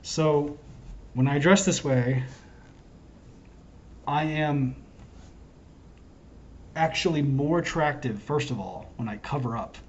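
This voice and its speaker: Hoarse man rambling in a monologue. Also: on the high side, takes it slowly, pauses often, a little mellow, lo-fi sound. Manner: wandering, self-interrupting, earnest. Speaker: self-styled prophet vlogger. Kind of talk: rambling solo monologue to camera.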